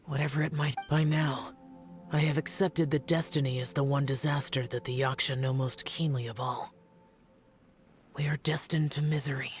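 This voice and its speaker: An actor playing a brooding, ancient guardian spirit in a video game, stoic and sorrowful, with restrained, formal speech. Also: male voice, on the high side, fairly even intonation, normal speed, lightly acted. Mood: grave, resigned. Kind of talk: scripted game dialogue. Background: music.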